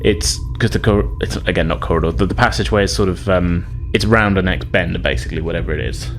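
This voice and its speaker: A man's voice: sits low, fast talker, soft background music. A man narrating a tabletop role-playing game as game master, in loose, hesitant, conversational phrasing.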